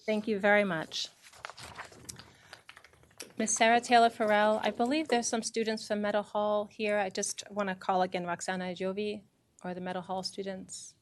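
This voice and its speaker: A woman's voice: medium pitch, flat delivery, moderate pace. A woman mayor chairing a city council meeting, calling speakers and reading procedural rules politely.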